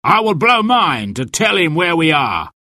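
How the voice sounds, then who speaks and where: A bullying sheriff villain from a storybook, acted by a male reader. He is loud and imperious, with a raised pitch that swings widely. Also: big pitch swings, unhurried, no room sound, studio quality, full character voice. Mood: smug.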